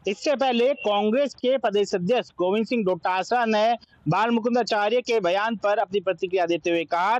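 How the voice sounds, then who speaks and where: Male TV news reporter, Indian accent, notably high voice, scripted narration in a dry, close studio sound. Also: no room sound, poor audio.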